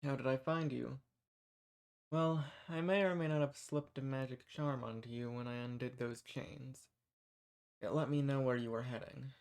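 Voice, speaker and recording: A man acts out an undercover rebel in a fantasy roleplay audio drama, speaking straight to the listener. His voice sits at an average male pitch. The phrasing is plain and folksy, that of a hunter with a secret cause. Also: fairly even intonation, normal speed, gravelly, smooth, lightly acted. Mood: friendly, reassuring. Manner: folksy, reassuring.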